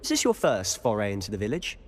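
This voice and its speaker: Game role: jaunty teen wizard, animated delivery, playfully formal, long hall reverb. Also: male voice, on the low side, quick pace. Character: jaunty, courteous teenage wizard schoolboy. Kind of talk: video game acting.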